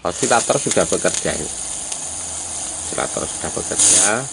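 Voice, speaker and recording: A man's voice: sits low, lively intonation, moderate pace, gravelly. A man giving a casual hands-on repair demonstration, addressing viewers informally as friends. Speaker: hobbyist repairer presenting a how-to video. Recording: loud mechanical and tool noise.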